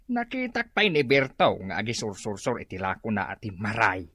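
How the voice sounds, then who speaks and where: Animated Ilocano gossiping neighbour. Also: male voice, fairly low pitch, normal speed, husky, dry recording, exaggerated voice. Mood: gossipy. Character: gossipy neighbour commenting on a quarrelling couple. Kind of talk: Ilocano comedy radio drama acting.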